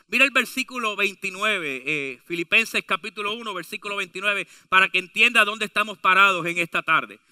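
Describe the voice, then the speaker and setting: A man's voice: high-pitched, sharp tone, dry recording. A man with a Latin American Spanish accent preaching a sermon emphatically, with rhetorical questions and restatements.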